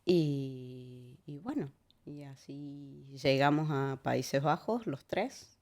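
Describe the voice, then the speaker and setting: A woman in her forties from Argentina with a Córdoba accent, telling her life story as a guest in a relaxed interview, talking haltingly, repeating words and laughing easily. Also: rumbling low.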